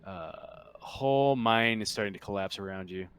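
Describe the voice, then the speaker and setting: A man narrating a tabletop roleplaying game as game master, casually and with halting, improvised phrasing. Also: fairly low pitch, a bit bright, smooth.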